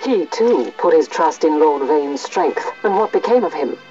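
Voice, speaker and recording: A woman's voice: low voice, lively intonation, measured pace, mellow. A woman playing a severe, outspoken imperial judge in a fantasy video game, grave, challenging and formal. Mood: accusing, grave. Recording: distant, muffled game audio under music.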